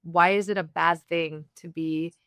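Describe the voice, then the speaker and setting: A woman from the United States hosting an interview, talking in a candid, reflective way with quick bursts. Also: fairly low pitch, steady tone, a bit bright, smooth, no room sound, clean studio sound. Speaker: podcast host. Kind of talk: conversational interview.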